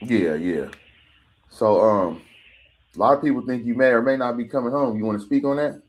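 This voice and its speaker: A man's voice: medium pitch, moderate pace. A man asking questions in a casual interview over a telephone line. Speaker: interviewer on a phone call. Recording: slightly noisy phone call.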